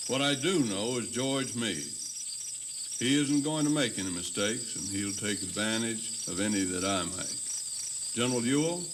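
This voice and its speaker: An actor playing a grave, decisive Confederate commanding general in a historical audio drama, deliberate and authoritative. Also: male voice, on the low side, expressive. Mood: measured, firm. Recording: noisy, distant tape with insect ambience.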